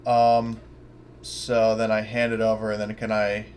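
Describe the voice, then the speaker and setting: A man's voice: fairly low pitch, monotone, a little slow, darkish tone, slight background noise. A man playing a tabletop roleplaying game, chatting casually and thinking aloud as he describes his character's actions.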